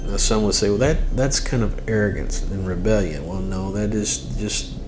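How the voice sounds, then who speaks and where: A man's voice: fairly low pitch, moderate pace, noisy background. A man giving an informal devotional lesson as host, speaking directly to the listener and repeating phrases for emphasis. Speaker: host and religious teacher. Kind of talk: informal devotional teaching to camera or mic.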